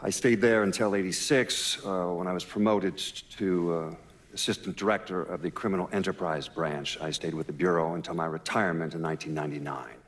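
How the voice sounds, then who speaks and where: An actor playing an elderly retired male FBI official in a game, giving measured, halting documentary interview testimony. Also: deep voice, steady tone, lightly acted. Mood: measured recollection. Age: 60s or older. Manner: hesitant, halting, matter-of-fact.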